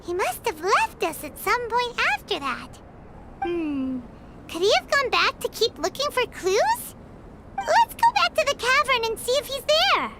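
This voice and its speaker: A woman voicing a small, excitable, childlike fairy companion in a video game, chatty and blunt. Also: very high-pitched, unhurried, very noisy, exaggerated voice. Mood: puzzled. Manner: exclaiming, childlike, expressive.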